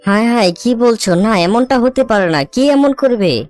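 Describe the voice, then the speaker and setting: A woman's voice: fairly high pitch, animated, moderate pace, a little mellow, soft background music, natural voice. A woman voicing a sorrowful, hardworking mother bird in a children's cartoon, earnest and plaintive. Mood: distraught, tearful.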